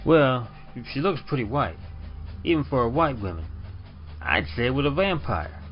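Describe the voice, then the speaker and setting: A man voicing an indignant, superstitious older train porter with a folksy, excitable Southern accent in an old-time radio drama. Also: medium pitch, moderate pace, voice pushed up, close to an everyday voice. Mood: spooked, insistent. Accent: American South. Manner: folksy, dialect-heavy, excitable. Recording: dull lo-fi audio under music.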